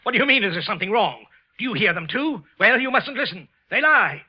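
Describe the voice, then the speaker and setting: An American actor playing a guilt-ridden office worker and murderer sliding into paranoid madness, stammering and repeating himself in a 1940s radio thriller. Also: male voice, high voice, animated, fast talker. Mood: hysterical. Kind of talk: 1940s American radio thriller acting, first-person narration. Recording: muffled old radio recording with music.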